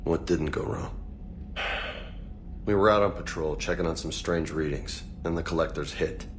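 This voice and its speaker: An actor playing a casual, easygoing but burdened soldier in a video game, with informal, slangy speech. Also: male voice, deep voice, normal speed, slight background noise, natural voice. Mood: troubled, reflective. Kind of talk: conversational video game acting.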